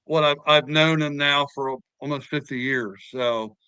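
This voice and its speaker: A man in his fifties hosts a podcast and tells stories at length, often repeating himself as he gets going. His voice is bright and smooth, with a slightly high pitch and a dry close sound. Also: steady tone, a little slow, no room sound, poor audio. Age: 50s. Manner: talkative storyteller, repeats words. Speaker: podcast host. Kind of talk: casual podcast chat.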